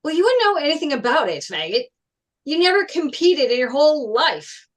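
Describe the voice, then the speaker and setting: A woman's voice: high voice, very animated, normal speed. A woman playing an indignant teenage boy wrestler in a script table read, blunt and confrontational. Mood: angry, defensive.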